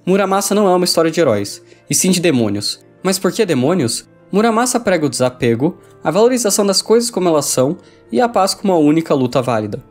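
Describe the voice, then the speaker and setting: A man's voice: sits high, sing-song, fast talker, hardly any pauses. A man with a Brazilian accent reading a scripted review as a video essay narrator. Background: background music.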